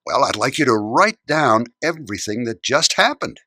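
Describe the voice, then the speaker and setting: An actor playing a kindly, gently stern older man in a children's radio drama. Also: mid-range voice, expressive, no echo. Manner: measured, theatrical, gently admonishing.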